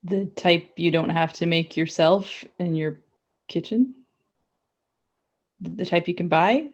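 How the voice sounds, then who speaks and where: A woman's voice: on the low side, unhurried, lo-fi sound. A woman co-hosting a casual podcast, chatty and rambling with frequent laughs. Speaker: podcast co-host. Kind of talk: casual banter.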